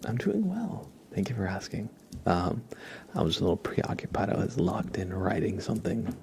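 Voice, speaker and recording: A man speaks in a low, whispery ASMR voice with a creaky edge. His delivery is gentle and halting, like a streamer softly chatting to his viewers. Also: very animated. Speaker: live streamer, ASMR host.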